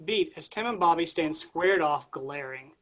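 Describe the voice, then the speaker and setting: Guy reading stage directions, plain and even, a bit high. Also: normal speed.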